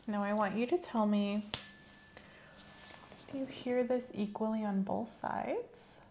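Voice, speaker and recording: Woman, ASMR clinical roleplay, soft measured instructions, gravelly fry, some background noise. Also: medium pitch. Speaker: ASMR host playing a medical examiner. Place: small indoor room set up as an exam room.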